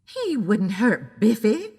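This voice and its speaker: A woman's voice: on the high side, wildly swooping pitch, slow, mellow, slightly rough, big-room sound, exaggerated voice. A woman voicing a chatty, exclamatory older homeowner in an audio drama. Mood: reassuring. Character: astonished older woman homeowner, protective of her dog.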